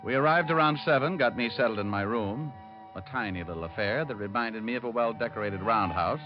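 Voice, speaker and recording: An actor playing a cocky, wisecracking New York private detective, narrating and bantering wryly in a vintage radio drama. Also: male voice, mid-range voice, brisk, muffled recording, loud music behind the voice, close to an everyday voice. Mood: breezy, sardonic narration. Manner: wry, flippant, sardonic delivery. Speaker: detective and first-person narrator.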